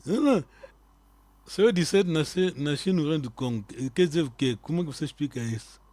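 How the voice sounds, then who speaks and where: A man teaching a live online language lesson, quizzing his students and repeating key words slowly so they stick. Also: fairly high pitch, animated, darkish tone, no echo.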